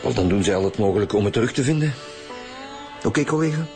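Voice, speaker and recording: A shrewd Flemish chief inspector in a radio drama, speaking in a fairly low voice. He questions dryly and briefly, with clear music behind him. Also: expressive, fast, darkish tone. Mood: dry, probing. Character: shrewd senior homicide chief inspector, Romain Van Deun.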